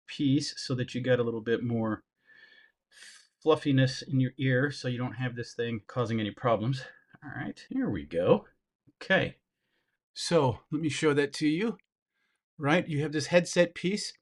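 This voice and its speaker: Upbeat man demonstrating gear on camera. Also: medium pitch. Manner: upbeat, enthusiastic, addresses viewers directly; talks while handling gear, brief pauses and asides. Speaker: product reviewer. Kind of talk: hands-on product demo.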